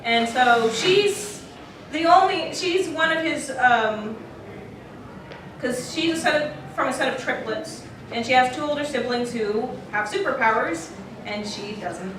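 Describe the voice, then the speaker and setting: A woman's voice: high-pitched, lively intonation, a little slow. A woman telling stories casually and emphatically as a creator on a convention panel. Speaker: podcast character creator and panelist. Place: convention panel room, recorded from afar. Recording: echoey noisy room, distant microphone.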